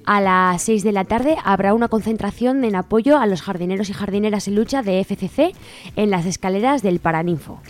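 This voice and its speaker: A woman's voice: medium pitch, fairly quick. A woman with an accent from Spain, hosting a radio show and informally reading out event announcements. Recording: music playing behind the voice. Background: music.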